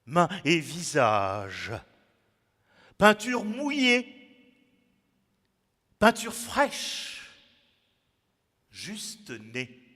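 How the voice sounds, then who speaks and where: A man reciting his own poem theatrically, with dramatic pauses and sudden swings between hushed and loud delivery. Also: fairly high pitch, very animated. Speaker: poet performing his own text. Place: large echoing museum gallery, indoors. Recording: reverberant hall echo.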